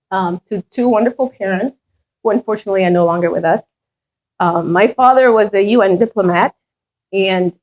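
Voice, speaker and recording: A middle-aged woman speaking at a community meet and greet, telling her story earnestly with now and then a light touch of humor about herself. Her first language is French, and her voice sits at an ordinary middle pitch for a woman. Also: darkish tone, poor audio. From Ghana, raised in France. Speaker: head of a charitable foundation. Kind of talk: public speech at a meet and greet.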